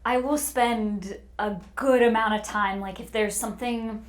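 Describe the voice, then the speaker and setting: A woman's voice: a little high, fairly even intonation, unhurried. A woman who works as a voice actor, talking earnestly in a casual panel chat.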